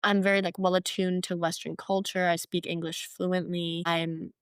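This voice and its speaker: A woman's voice: lowish voice, little pitch movement, normal speed, clear, resonant tone, no echo, clean studio sound. A young woman in her twenties from Hong Kong, talking reflectively as a podcast guest, often saying "like" and "you know". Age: 20s. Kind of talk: podcast interview.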